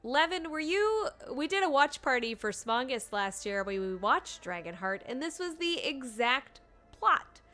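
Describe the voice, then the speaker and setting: Bright, chatty woman streaming a game. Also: high-pitched, big pitch swings, a little slow, few pauses, faint music behind. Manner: playful, chatty, reacting as she goes. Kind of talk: casual live game commentary.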